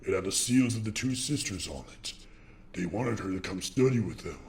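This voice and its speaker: Brooding young male unicorn, played by a man, low and swooping, fatalistic confessional narration for a fan comic dub. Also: lively intonation, moderate pace, slight background noise. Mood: bitter, brooding. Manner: dramatic, heavy, confessional delivery. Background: loud music.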